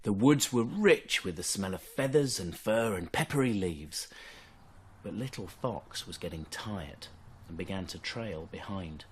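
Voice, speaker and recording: A man reading a bedtime story to young children in an expressive storytelling style. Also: deep voice, measured pace, a little hiss or hum.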